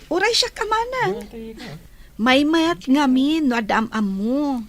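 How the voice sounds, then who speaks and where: A woman's voice: sits high, animated, measured pace. A woman playing a chatty, eager younger colleague in an Ilocano radio drama. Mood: eager, friendly.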